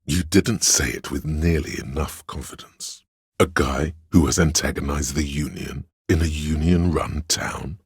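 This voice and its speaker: A male actor voicing a sly inner voice of drama in a video game, a scheming courtly servant with theatrical, archaic flourishes and a gravelly, husky delivery. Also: rumbling low, fairly even intonation, a little slow, dry recording, clean studio sound, full character voice. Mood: shrewd, advising. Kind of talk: video game voice lines, addressing a liege.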